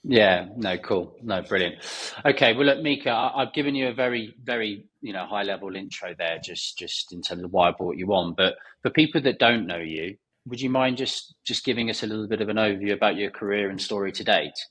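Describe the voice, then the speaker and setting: Husky-voiced male podcast host. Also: lowish voice, brisk, hardly any pauses, fairly bright tone, low-quality recording. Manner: rambling, many fillers and restarts. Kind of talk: podcast interview intro.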